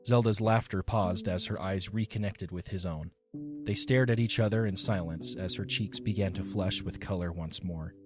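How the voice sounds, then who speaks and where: Man narrating a story, low voice. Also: normal speed. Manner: even, descriptive reading. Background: music.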